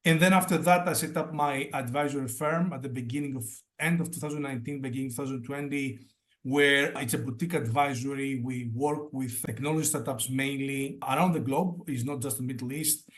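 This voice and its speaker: A man's voice: medium pitch, fairly quick, fairly bright tone. A man in his forties from Greece, a native Greek speaker, chatting as an interview guest and often restarting his phrases. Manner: restarts phrases often, fillers like "you know". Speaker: guest startup advisor. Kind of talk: casual interview chat.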